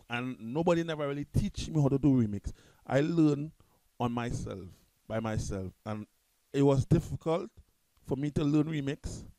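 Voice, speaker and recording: A man with a Trinidadian accent, talking loosely through a music software tutorial at his computer, repeating himself and restarting often. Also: fairly high pitch, no echo, low-quality recording. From Trinidad and Tobago. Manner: loose, repetitive, restarts a lot. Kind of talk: casual screen-recorded software tutorial.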